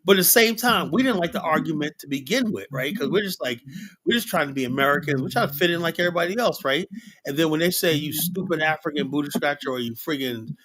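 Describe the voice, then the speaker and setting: A middle-aged man from Liberia hosting a casual livestream discussion, rambling and animated, full of fillers and "you know?" tags. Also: fairly high pitch, quick pace, rough recording. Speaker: livestream host.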